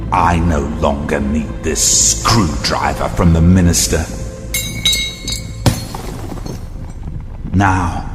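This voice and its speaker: A man acts out an imperious, cold tyrant in a radio play. His low voice moves slowly and deliberately, declaiming commands in a long, hall-like reverb with faint music behind. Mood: gloating, commanding. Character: megalomaniac male villain, vengeful cold ruler commanding minions. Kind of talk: audio drama villain acting.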